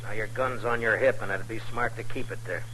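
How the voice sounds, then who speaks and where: A man's voice: on the low side, fairly quick, sharp tone. A man playing a resolute western lawman in a 1950s radio drama, speaking tersely and with command. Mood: stern, commanding. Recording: noisy old radio broadcast recording.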